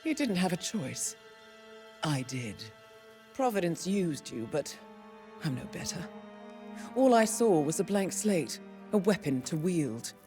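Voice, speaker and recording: An actress playing a composed, scheming female handler in a game cutscene, with measured, controlled delivery. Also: fairly low pitch, sing-song, mellow. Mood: sorrowful. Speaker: video game character. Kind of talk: cinematic game dialogue. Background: game soundtrack music.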